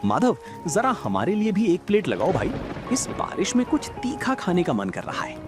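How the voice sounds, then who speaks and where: A man's voice: high voice, wildly swooping pitch, normal speed, over music. A man playing a curious, friendly older villager stopping at a food cart in a cartoon. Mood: curious, keen.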